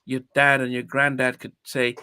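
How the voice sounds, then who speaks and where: A man's voice: mid-range voice, completely flat delivery, moderate pace, bright, forceful tone, clear, resonant tone, dry recording, clean studio sound. A man in his fifties, from Nigeria, hosting a podcast in a reflective, hesitant way with many restarts. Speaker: podcast host. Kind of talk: casual interview chat.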